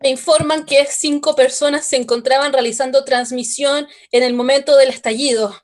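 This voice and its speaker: An actress playing a radio announcer who reports an attack from an emergency station, then narrates calmly. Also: female voice, high-pitched, lightly acted. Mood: shaken. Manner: measured, solemn reporting. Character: female emergency news announcer, shaken but composed. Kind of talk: radio drama acting.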